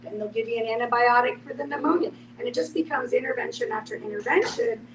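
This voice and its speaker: A woman's voice: on the high side, fast. A woman earnestly explaining to a discussion group in a conversational way, with everyday examples. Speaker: end-of-life educator in a group discussion. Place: echoey room, away from the microphone. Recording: roomy, distant microphone, clear background noise.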